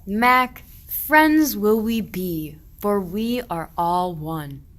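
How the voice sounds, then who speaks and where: A woman's voice: medium pitch, animated, extremely slow, a bit bright. A woman playing an earnest, forthright shepherd, declaiming archaic rhymed verse in a radio play. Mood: calling out. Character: earnest, forthright shepherd searching for a lost sheep.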